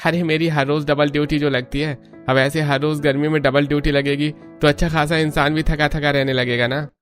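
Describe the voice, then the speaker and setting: Man acting a high-voiced obliging young neighbor. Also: little pitch movement, fairly quick, fairly bright tone, lightly acted. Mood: resigned. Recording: music under the voice.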